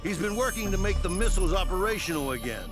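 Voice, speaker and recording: An actor playing a stern, businesslike military mission handler in a game cutscene, clipped and authoritative. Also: male voice, sits high, lively intonation, fast. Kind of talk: video game cutscene acting. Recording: loud music behind voice.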